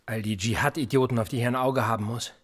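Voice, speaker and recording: Sardonic, needling policeman with a husky edge, played by an actor. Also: mid-range voice, steady tone, fast talker, lightly acted. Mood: bitter, weary. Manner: sarcastic, probing, provocative. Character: sardonic, needling male police interrogator, quietly menacing. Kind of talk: German radio play acting.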